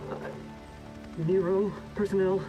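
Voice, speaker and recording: A man acting a nervy, defensive government man in a dramatic video game, halting and pleading as he justifies himself. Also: high voice, level tone, highs cut off, music in the background. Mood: panicked, defensive.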